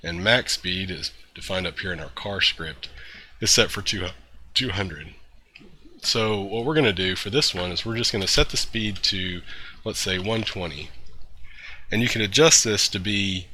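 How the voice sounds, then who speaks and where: A congested man walks through a coding tutorial in a relaxed, step-by-step way. His voice sits fairly low but has a very bright, forward edge. A faint hiss runs under the recording. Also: moderate pace. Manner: relaxed, talks through steps with fillers and throat-clearing. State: congested from a cold. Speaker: programming tutorial host.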